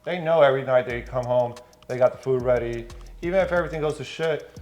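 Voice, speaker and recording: A man talks quickly in a casual, slangy podcast conversation. His pitch sits in the middle range, and faint music plays underneath. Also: darkish tone. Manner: informal, slangy. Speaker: podcast panelist, entrepreneur.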